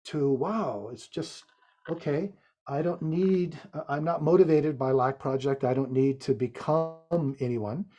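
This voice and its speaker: A man speaking as a podcast guest, explaining Zen ideas in a thoughtful, halting way with frequent restarts. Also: fairly high pitch. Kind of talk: reflective interview talk.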